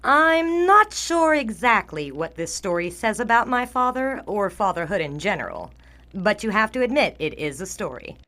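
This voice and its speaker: An actress playing a wry, affectionate assistant newspaper editor, narrating an audio drama in a dry manner. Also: female voice, medium pitch, very animated, moderate pace, pushed and bright. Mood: wry, fond. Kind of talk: scripted first-person drama narration.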